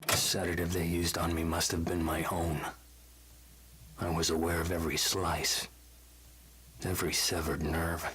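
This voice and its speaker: An actor playing a cold, tormented, vengeful male scientist in a video game audio log, speaking slowly and deliberately with a hushed, breathy menace. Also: rumbling low, slight background noise. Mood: brooding, tormented. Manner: slow, deliberate, clinical, brooding menace.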